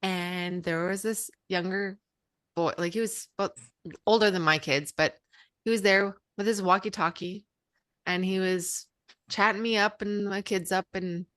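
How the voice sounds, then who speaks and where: A woman from Alberta co-hosting a podcast, telling stories in a chatty, animated way. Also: mid-range voice, a little slow, no echo. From Alberta, Canada. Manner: chatty, animated storyteller, acts out quotes. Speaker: podcast co-host. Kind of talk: casual storytelling chat.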